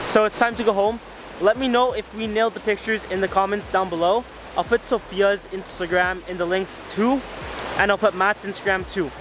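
A man's voice: high-pitched, background noise. A man in his twenties talking casually to camera as a vlog host. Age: 20s. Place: car interior, a room, outdoors by a river at different times. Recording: music behind the voice. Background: music.

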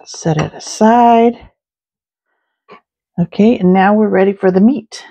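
A woman talks her way through a recipe in her home kitchen, unhurried and easygoing, pausing with little fillers between steps. Her voice sits at an ordinary middle pitch, and she takes her time with every word. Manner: slow, relaxed step-by-step talk with fillers. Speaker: home cook, channel host. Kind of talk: casual cooking narration.

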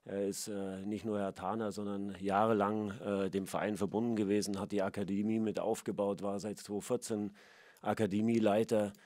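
A middle-aged man giving a halting statement as club managing director at a press conference, on a table mic. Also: fairly low pitch, flat delivery, a little mellow, no room sound, clean studio sound. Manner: halting, many filler sounds. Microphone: table microphone.

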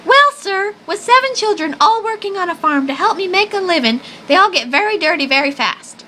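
A woman's voice: very high-pitched, expressive, brisk, sharp tone, a little hiss or hum, full character voice. A woman playing a wholesome farm mother giving a sugary, earnest testimonial in a parody radio advert.

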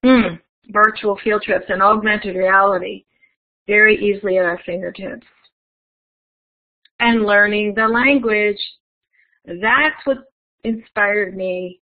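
A woman's voice: mid-range voice, steady tone, takes it slowly, pauses often. A woman giving a conference keynote as a webinar presentation, friendly and guiding the audience through an interactive activity. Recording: tinny phone-like webinar audio.

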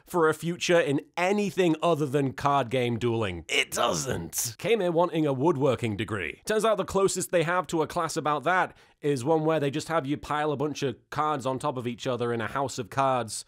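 A man's voice: a little high, animated, normal speed, hardly any pauses. A man in his thirties giving a playful, sarcastic comedy recap to camera in a theatrical manner. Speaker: host of an episode recap and reaction series.

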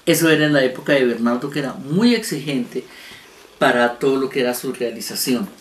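A man's voice: mid-range voice, fairly quick, fairly bright tone. A man, a veteran radio actor reminiscing in an interview. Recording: roomy, faint background noise.

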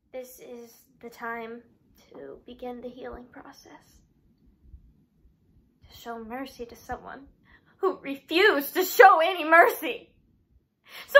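A young woman plays a grieving father facing his son's killer in a dramatic stage monologue. The voice is high and bright, halting and full of emotion, with heavy pauses. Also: full character voice. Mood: quiet resolve. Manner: halting, emotional, with repeated words and long pauses.

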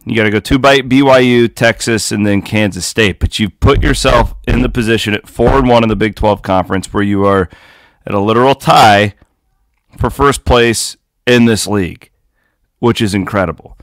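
A man, hosting a postgame sports analysis show from his home office in an animated, folksy way. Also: lowish voice, normal speed, no room sound. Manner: animated, talks straight to fans with folksy asides. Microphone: studio condenser mic on desk, webcam.

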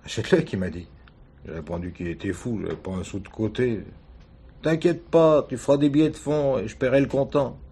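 An actor playing a wounded, weary husband suspected of murder, halting and defensive, in a French radio crime drama. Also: male voice, medium pitch, mellow, breathy edge. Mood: bitter, hurt. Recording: noisy old radio drama recording.

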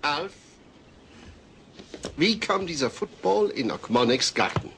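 A long-suffering suburban dad, earnest and lively in pitch. It is dubbed sitcom acting with a faint noise behind the voice. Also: male voice, slow, vocal fry, heavily acted. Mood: stern, fed up. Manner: earnest, stern yet polite.

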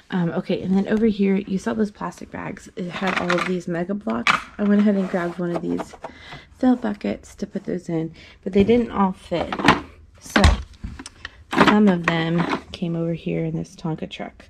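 A young woman with a low, gravelly voice chats casually to a phone camera held at arm's length. She rambles through her thoughts with lots of ums and restarts, recorded in a small room at home. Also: noisy background. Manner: rambling, hesitant, many fillers and restarts. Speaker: mom vlogger. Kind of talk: casual chat. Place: small carpeted playroom at home, indoors. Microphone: handheld phone camera at arm's length.

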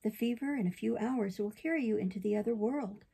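Woman as a patient, mellow motherly fairy. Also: on the high side, brisk, creaky, slightly rough. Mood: grave. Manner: loving, patient.

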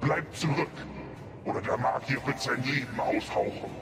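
A man's voice: medium pitch, fairly even intonation, moderate pace, natural voice. A man playing a menacing, imperious dragon in a fantasy radio drama, commanding and contemptuous. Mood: threatening. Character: menacing, imperious dragon guarding a stolen hoard. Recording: loud music under the voice.

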